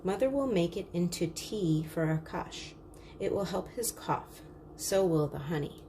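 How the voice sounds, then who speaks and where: A woman's voice: lowish voice, animated, unhurried, mellow, a little hiss or hum. A woman voicing a practical older sister, a young girl, in a storybook, earnestly explaining things. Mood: calm.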